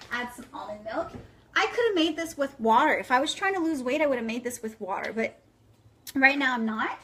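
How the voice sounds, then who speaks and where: A woman's voice: fairly high pitch, a bit bright. A woman in her thirties with a French accent, demonstrating a recipe to viewers and checking in with "okay?" and "guys" after nearly every line. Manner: chatty, keeps tagging "okay?" and "guys". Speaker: vlogger demonstrating a smoothie recipe. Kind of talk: casual kitchen demo, talking to viewers. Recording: noisy home recording.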